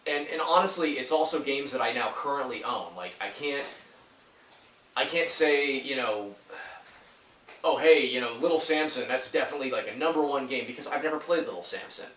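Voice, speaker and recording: A man in his thirties chatting casually about his favorite old games, stuffy from a head cold. He rambles, trails off and starts his sentences over, his voice landing at a middle pitch. He sounds a little off mic, in a room with a noticeable echo. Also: fairly quick, a bit bright, recorded from a distance, slight background noise. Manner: rambling, full of fillers and restarts. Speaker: YouTuber reviewing retro games. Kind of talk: casual talk to camera.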